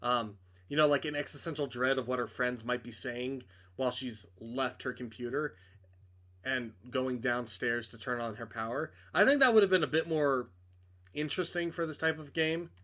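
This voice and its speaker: Guy reading a visual novel out loud for his channel, mid pitched voice, game reviewer. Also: normal speed. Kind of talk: dramatic read-aloud of a visual novel and casual commentary.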